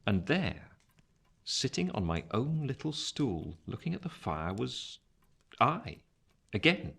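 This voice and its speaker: An actor playing an older, reflective gentleman looking back on his life, narrating a radio play in a measured, wistful way. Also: mid-range voice, sing-song, gravelly, slightly rough, full character voice.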